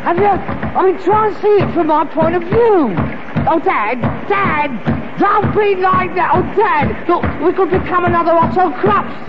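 High-voiced London son, wheedling, defensive, indignant, radio sitcom, muffled old recording with audience noise. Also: male voice, unhurried, over loud music, over a noisy crowd. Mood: pleading. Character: aspiring, defensive, pleading rag and bone man son. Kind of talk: 1960s British radio sitcom acting.

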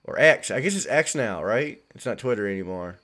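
A man hosting a chatty podcast, his voice a little gravelly as he gets over an illness, cracking puns as he talks. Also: fairly low pitch, animated, fairly quick, a bit bright. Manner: punning, joking. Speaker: podcast host. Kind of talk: casual chat.

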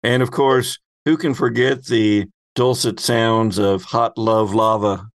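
A man narrating a tabletop roleplaying game as game master, often prompting the players with halting questions. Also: on the low side, steady tone, no room sound.

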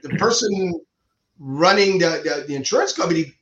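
A man's voice: a little high, expressive, normal speed, fairly bright tone. A middle-aged man from Cuba, a surgeon guest explaining his views earnestly, often restarting his sentences. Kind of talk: conversational interview. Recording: echoey dull lo-fi room sound.

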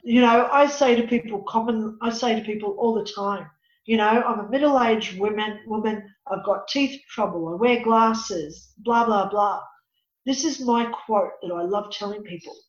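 A woman in her fifties with a raspy voice, speaking as an interview guest and life coach. She talks in an emphatic, preachy way and often says "you know.". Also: mid-range voice, normal speed. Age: 50s. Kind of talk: earnest personal conversation. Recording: echoey, dull lo-fi audio.

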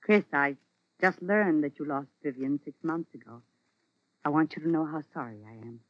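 An actress playing an eager, friendly former sweetheart in an old-time radio drama. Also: female voice, sits low, lively intonation, moderate pace, dry recording. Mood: sympathetic. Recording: muffled vintage radio recording.

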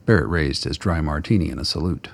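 A man narrating a radio play in a scripted, dramatic storytelling style with a gravelly, husky voice. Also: very deep voice, fast, no room sound.

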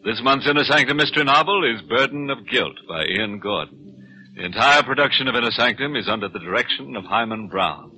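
A man hosting a 1940s radio mystery in a theatrical, sardonic, macabre tongue-in-cheek style, with drawn-out delivery. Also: mid-range voice, animated, sharp tone, rough recording. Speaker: mystery show host. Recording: old radio recording.

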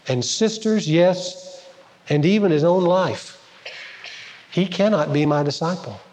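Pastor, man, higher voice, dramatic sermon delivery, long church reverb. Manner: dramatic preaching, swings between loud emphasis and quiet. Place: church sanctuary. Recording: large hall with long reverb.

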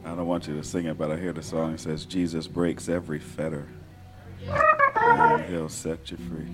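A pastor preaching a sermon in a hoarse, raspy voice, building energy and talking directly to his congregation. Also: rumbling low, wildly swooping pitch, normal speed, very noisy. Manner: preaching with rising call-and-response energy. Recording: sermon with organ music behind. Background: organ and instrumental music.

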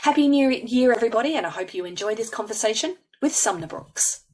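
A woman's voice: a little high, expressive, fast talker, a little mellow, far from the mic, low-quality recording. A woman hosting a podcast, introducing her guest in a casual, rambling way with many fillers and restarts. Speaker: podcast host.

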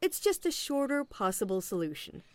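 A woman's voice: mid-range voice, sing-song, normal speed, close to an everyday voice. A woman acting in an audio play as an officious agent, politely relentless and salesy, with veiled sarcasm. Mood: briskly officious.

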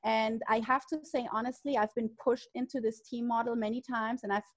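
A woman's voice: a little high, fairly even intonation. A German-born woman in her thirties with a German accent, answering interview questions frankly, laughing easily and restarting her sentences. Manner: frank, self-deprecating, laughs often, halting restarts. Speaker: guest, real estate agent.

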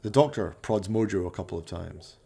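A man's voice: low voice, fairly quick, gravelly, mellow, breathy edge. A man delivering theatrical, scripted narration for a comic horror radio play, in an arch, melodramatic manner.

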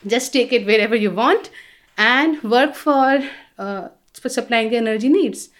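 A woman's voice: on the high side, measured pace. Indian-accented woman engineer explaining conversationally. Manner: explanatory, frequent "you know". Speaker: engineer, interview guest. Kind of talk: conversational interview answers.

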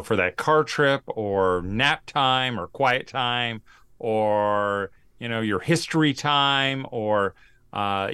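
A man's voice: fairly low pitch, pushed and bright, no echo. A man hosting a podcast, chatting casually in an encouraging, agreeable way. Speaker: podcast host. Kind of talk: casual interview chat.

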